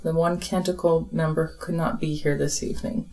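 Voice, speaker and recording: A woman's voice: sits low, level tone, brisk, mellow, faint music behind, poor audio. A woman hosting a casual round-table podcast interview, speaking in a reflective, earnest way. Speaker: podcast host and producer.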